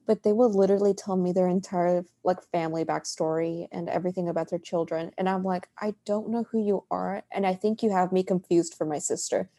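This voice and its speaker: A young woman from Mexico co-hosting a podcast, telling stories in a casual, animated way and acting out quoted lines. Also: lowish voice, fairly quick, few pauses. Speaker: podcast co-host. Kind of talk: casual chat.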